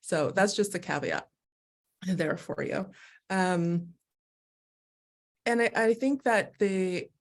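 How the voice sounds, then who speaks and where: Expressive lower-voiced woman sharing candidly. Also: slow pace, long pauses. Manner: animated and expressive, laughing through her stories. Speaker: guest, Human Design educator. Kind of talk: candid personal conversation.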